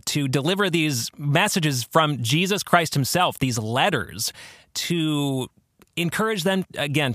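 A man hosting a podcast, explaining theology in a conversational, earnest way with expressive emphasis. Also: on the high side, no echo, clean studio sound. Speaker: podcast host.

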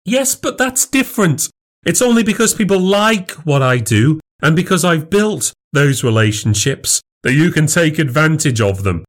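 A man's voice: medium pitch, fairly even intonation, a little slow, few pauses, natural voice. A man voicing an indignant, sincere wife in audiobook dialogue, exasperated and defensive.

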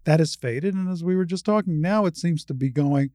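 An older woman with a low voice, explaining things conversationally and with assurance as an interview guest. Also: fairly quick, dark tone, no room sound, studio quality.

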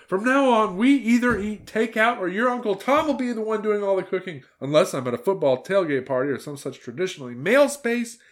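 Man plays a fired-up aunt, pitched high, defiant and emphatic. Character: weepy middle-aged aunt, emotional and defiant.

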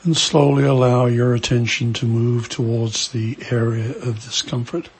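A man leading a guided meditation, speaking gently in short phrases with long pauses between them. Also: medium pitch, flat delivery, creaky, husky.

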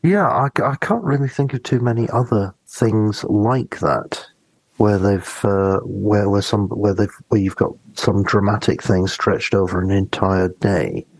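A man co-hosting a podcast in casual discussion, speaking haltingly as he searches for his words. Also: on the low side, vocal fry, dry recording, rough recording. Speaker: podcast co-host.

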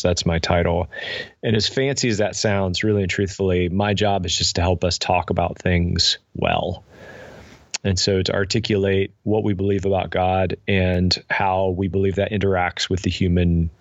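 A man in his forties speaks as a podcast guest in a very low voice. He is casual and reflective, with a self-deprecating, halting way of talking. The recording is dry and a little dull. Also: no echo. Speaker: podcast guest, pastor and author. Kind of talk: casual reflective interview talk.

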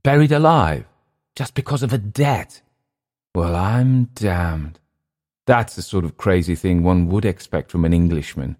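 A low-voiced, world-weary jungle settler, played by a male narrator. He is domineering and mocking, leaning on his words with scornful, teasing weight, as in an audiobook adventure. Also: unhurried, a little mellow. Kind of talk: audiobook character dialogue.

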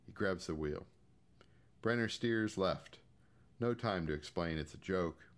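A man's voice: deep voice, measured pace. An American man giving a scripted, audiobook-style narration of short fiction. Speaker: short story reader.

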